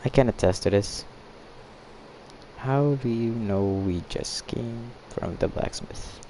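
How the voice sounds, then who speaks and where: Casting: male game streamer, offhand and slow, dark soft tone, reads dialogue aloud haltingly. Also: mid-range voice, a little hiss or hum, rough recording. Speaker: gaming streamer.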